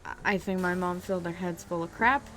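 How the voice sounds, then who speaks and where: A woman's voice: fairly low pitch, steady tone, fairly quick, a bit bright, a little hiss or hum. A young woman vlogging from her bedroom, telling a personal story in a hesitant way with many ums and restarts while she plays a driving game. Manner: hesitant, lots of ums and restarts, self-deprecating; talks while playing a driving video game. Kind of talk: casual personal story time.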